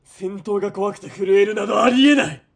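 An actor playing an arrogant, high-strung young army lieutenant in a radio drama, with haughty, barking military speech. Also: male voice, brisk, creaky, slightly rough, heavily acted. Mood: shrill, boastful. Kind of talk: Japanese radio drama acting.

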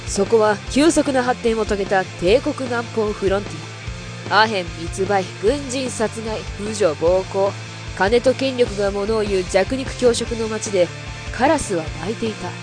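A woman's voice: mid-range voice, a little slow. Woman narrating a dramatic preview over music. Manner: dramatic, announcing. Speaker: next-episode narrator. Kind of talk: scripted trailer narration.

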